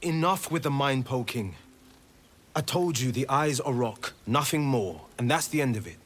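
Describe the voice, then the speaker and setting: An actor playing a swashbuckling, boastful young monster hunter in a fantasy video game, with charming, theatrical flourishes. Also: male voice, medium pitch, expressive, normal speed, close to an everyday voice. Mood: defensive.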